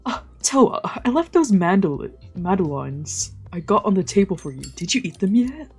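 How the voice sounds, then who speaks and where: A woman's voice: medium pitch, big pitch swings, normal speed, a little mellow, breathy edge, soft background music. A woman voicing a friendly, chatty, doting character from a visual novel, eager and caring.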